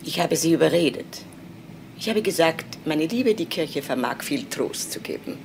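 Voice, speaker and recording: Deep-voiced, pious family friend. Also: female voice, lively intonation, moderate pace, vocal fry, a little mellow, slight background noise. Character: pious, self-assured woman, family friend. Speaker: actress in a radio crime play. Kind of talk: German radio crime drama acting.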